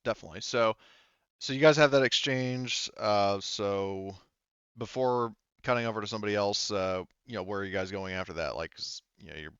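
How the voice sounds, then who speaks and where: A man speaking casually and somewhat haltingly as game master of a tabletop roleplaying session. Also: on the low side, fairly bright tone, clean tone, no echo, dull audio.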